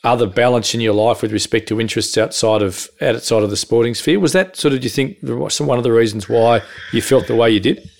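A man hosting a podcast interview, asking long, measured, wordy questions. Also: medium pitch, gravelly, a little mellow.

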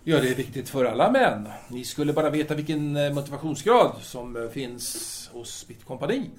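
A man's voice: medium pitch, animated, close to an everyday voice. An actor playing a self-absorbed, womanizing intellectual soldier on leave in an audio drama, wordy and hesitant in a pompous way. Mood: sheepish, self-justifying.

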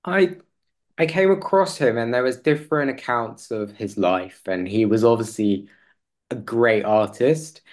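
A man speaking as an interview guest in a conversational way, hesitant and halting, often rephrasing as he goes. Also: medium pitch.

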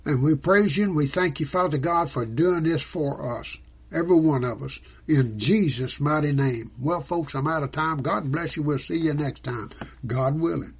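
A man's voice: a little high, moderate pace, husky. A man preaching in an earnest, devout way, teaching as the host of a religious program. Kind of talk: sermon teaching with scripture quotes and closing prayer.

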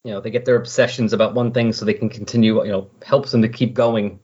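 A man with a husky voice chatting casually on a podcast, explaining and recapping in a rambling way. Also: fairly low pitch, steady tone, fast, a little mellow. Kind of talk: casual comic book discussion. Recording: dull lo-fi voice recording.

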